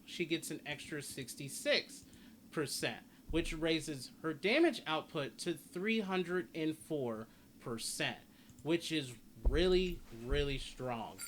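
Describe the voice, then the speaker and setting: A man's voice: on the high side, lively intonation, slow pace, fairly bright tone. A man giving a casual video game guide, explaining and reading out stats as he goes. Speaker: gaming guide creator. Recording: clear background noise, game ambience. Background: game sounds and ambience.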